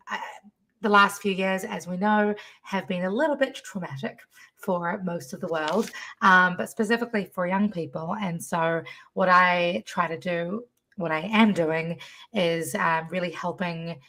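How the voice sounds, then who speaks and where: A woman from New Zealand with a New Zealand accent, a musician chatting as a podcast guest in an animated, laughing way. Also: lowish voice. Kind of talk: casual interview chat.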